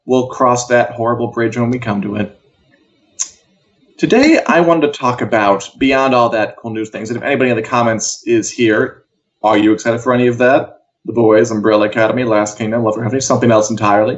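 The recording is a bit dull and lo-fi, like a live stream. A man speaks at an average pitch. He delivers entertainment news and opinions as a co-host, in a casual, wry, conversational way. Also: a little fast.

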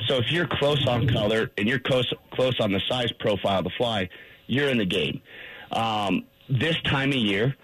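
A man's voice: medium pitch, normal speed, low-quality recording. A man guesting on a radio show, eagerly explaining fishing techniques with many fillers. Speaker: fly shop guest, fishing instructor.

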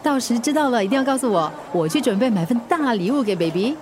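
An actress playing a supportive, generous friend in a radio drama, friendly and encouraging. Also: female voice, a little high, animated, quick pace, background noise, natural voice. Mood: caring, upbeat. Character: supportive, generous woman friend of a young family.

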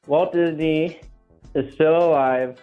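Man, radio caller, teasing, quick talker, slightly high voice, muffled phone sound. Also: fairly even intonation, faint music behind. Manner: fast, teasing, laughs between remarks. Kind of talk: casual call-in chat. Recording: dull phone line.

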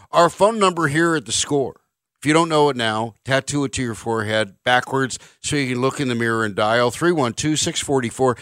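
A man's voice: mid-range voice, a bit bright, dry recording. A man hosting a live sports talk radio show from a studio, joking and sarcastic as he runs the segment. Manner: wisecracking, upbeat and sarcastic. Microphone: studio microphone.

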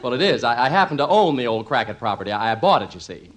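A man's voice: mid-range voice, sing-song, fast talker, close to an everyday voice. An actor playing a flustered, stubborn, put-upon husband and father with an American accent, stammering and self-pitying in 1950s radio comedy. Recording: noisy old 1950s radio recording.

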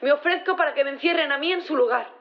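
Audio drama wife: high-pitched, pleading, insistent, devoted, slightly dull sound. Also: female voice, fast. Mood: desperate, insistent. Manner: emotional, insistent, repeating words.

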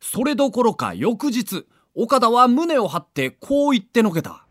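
A man's voice: very high-pitched, sing-song, a little slow, slight background noise. A man narrating a sports documentary in a theatrical, emphatic storytelling manner.

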